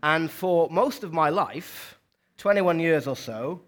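A man's voice: a little high, lively intonation, takes it slowly, fairly bright tone. A young man with a South Welsh accent preaching a sermon in a church, chatty, with asides to the congregation. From South Wales.

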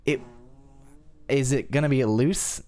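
A man co-hosting a casual discussion, talking in a halting, tentative way. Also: medium pitch, darkish tone. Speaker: podcast co-host.

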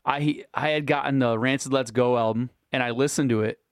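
A man in his late thirties telling stories in a relaxed, casual podcast chat with friends, often laughing. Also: mid-range voice, a little fast, no room sound, clean studio sound. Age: 30s. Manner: laid-back storytelling with frequent laughs. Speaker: podcast host.

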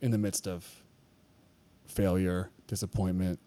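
Low, mellow-voiced man chatting candidly. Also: little pitch movement, a little slow. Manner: candid, confessional, rambling with restarts. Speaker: podcast co-host at a church. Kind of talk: casual chat.

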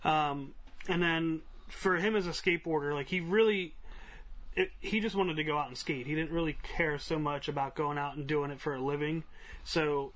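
A man's voice: on the high side, steady tone, normal speed, a bit bright. A man co-hosting a podcast and casually explaining his view of a film. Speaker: podcast co-host.

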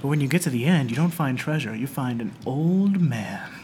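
A man hosting a casual group podcast, playfully spinning improvised scenarios in a noisy, rumbly room recording. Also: lively intonation, moderate pace. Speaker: podcast host.

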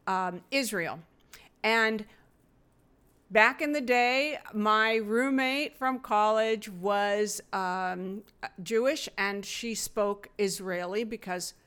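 A middle-aged woman telling personal stories in a relaxed, earnest conversational way. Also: mid-range voice. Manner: earnest, reflective, with fillers and laughs. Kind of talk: conversational storytelling.

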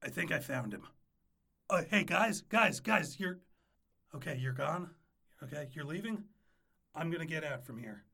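A man's voice: on the high side, expressive, unhurried, creaky, pauses often, pushed and bright, full character voice. A man playing a nervous, pleading ex-boyfriend who stammers and repeats himself in a comic audio drama. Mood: nervous, sheepish.

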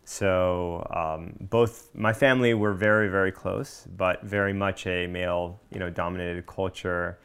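Low-voiced, creaky man sharing his story. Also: fairly even intonation, unhurried, few pauses, a bit bright. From Miami, United States. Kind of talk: candid personal storytelling.

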